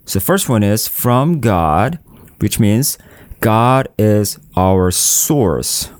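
A man preaching a sermon, speaking deliberately with repeated phrases and frequent restarts. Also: lowish voice, expressive, dry recording, clean studio sound. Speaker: pastor.

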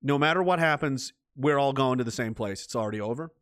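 A man's voice: mid-range voice, quick pace, fairly bright tone, clear, resonant tone, dry recording, clean studio sound. A man hosting a livestream, rambling in casual, heated and profane commentary. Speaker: livestream host.

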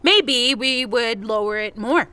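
An indignant, blunt woman in an audio drama. Her voice is fairly high and forceful, and she takes her time with each challenge, sardonic and sure of herself. The sound is dry and close. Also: slow pace, clear, resonant tone, dry recording. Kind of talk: naturalistic audio drama dialogue.

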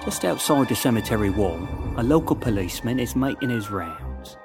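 A man's voice: medium pitch, big pitch swings, normal speed, over music. A man playing a florid, theatrical storyteller who was once a London street lad, narrating a dramatic serial. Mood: suspenseful.

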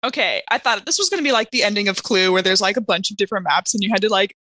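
A woman's voice: medium pitch, very fast, pushed and bright, no echo. A woman playing a tabletop roleplaying game, chatting casually and improvising in character.

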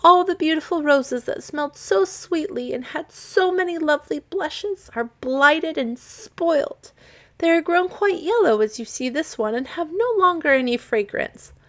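A woman's voice: high-pitched, expressive, measured pace, voice pushed up. A woman voicing a dutiful, dismayed little princess in a storybook, plaintive and full of exclamations. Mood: tearful, dismayed.

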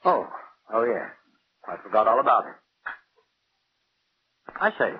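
A man's voice: mid-range voice, very animated, unhurried. An actor playing an urbane, sardonic husband who mocks his wife in genteel, theatrical tones, in 1940s radio drama style. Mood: fretful, distracted. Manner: theatrical, mocking, genteel exclamations. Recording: dull, hissy 1940s radio recording.

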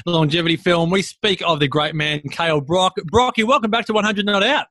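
Man, podcast host, upbeat and bright, fairly high voice, dry close sound. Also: fairly quick, no room sound. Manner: upbeat, hyping introductions. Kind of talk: casual podcast chat.

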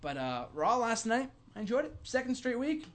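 A man's voice: very high voice, sing-song, moderate pace, a bit bright. A man in his early twenties co-hosting a wrestling podcast, chatting casually and enthusiastically and repeating phrases for emphasis. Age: early 20s. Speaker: co-host of a pro wrestling fan podcast. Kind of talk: casual sports fan chat.

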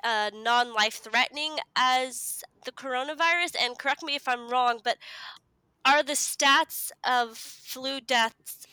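A woman's voice: sits high, slow pace, pushed and bright, clear, resonant tone, dry recording. A woman from the United States, speaking as a podcast guest and explaining things in a casual, conversational way.

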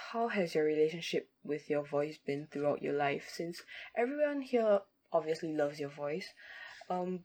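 A woman's voice: on the low side, a little mellow. A woman, not a native English speaker, politely asking a host a question. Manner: hesitant, polite, careful.

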